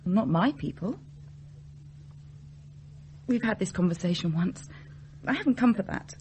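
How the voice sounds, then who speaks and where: A woman's voice: mid-range voice, very animated, unhurried, darkish tone, slight background noise. An actress playing an evasive, self-doubting young woman in a radio drama, hesitant and brittle, with an English accent. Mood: guarded. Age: young adult.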